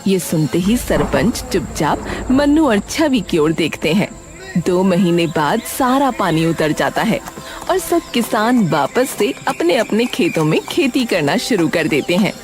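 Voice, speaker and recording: A woman's voice: fairly low pitch, very animated, unhurried, soft background music. A woman narrating a scripted story as its storyteller.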